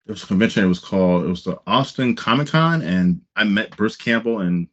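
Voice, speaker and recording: A man's voice: lowish voice, animated, a little fast. Enthusiastic American man, slightly muffled sound. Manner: enthusiastic, repeats phrases for emphasis. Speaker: podcast host interviewer. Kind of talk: casual interview chat.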